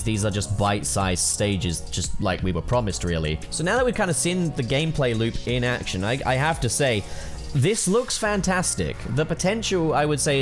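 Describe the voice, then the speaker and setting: A man speaking as a YouTube host, giving informal, chatty commentary on gameplay. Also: mid-range voice.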